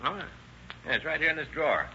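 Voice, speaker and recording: Forceful, needling radio-serial police lieutenant. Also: male voice, a little high, expressive, fast talker, full character voice. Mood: slyly casual. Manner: sardonic, slangy, pressing. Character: shrewd, needling, hard-driving male police lieutenant interrogator. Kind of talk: 1930s-1940s radio crime drama acting. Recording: dull, noisy old radio recording.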